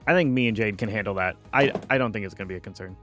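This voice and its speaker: Laid-back male player, mid pitch, explains the game with faint music behind. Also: rapid-fire, a bit bright. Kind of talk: casual tabletop game chat.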